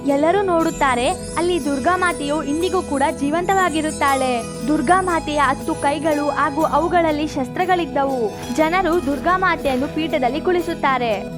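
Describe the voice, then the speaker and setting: A woman's voice: high-pitched, normal speed. A woman reading a scripted moral story as a storyteller, with music behind her. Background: background music.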